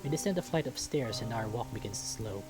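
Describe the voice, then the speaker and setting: A man's voice: fairly low pitch, expressive, fast, music in the background, low-quality recording. A man reading a visual novel aloud as storytelling narration, now and then stumbling over a word.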